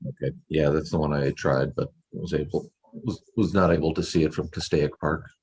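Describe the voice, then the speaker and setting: A man calling in to a video meeting with a question, hesitant with many pauses. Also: very deep voice, fairly even intonation, a little mellow, breathy edge, poor audio. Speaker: caller with a question. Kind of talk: casual talk on a video call.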